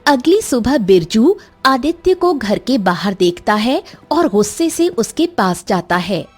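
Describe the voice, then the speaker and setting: A woman's voice: a little high, very animated, unhurried, faint music behind. A woman giving dramatic scripted narration of a cartoon fairy tale. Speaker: story narrator.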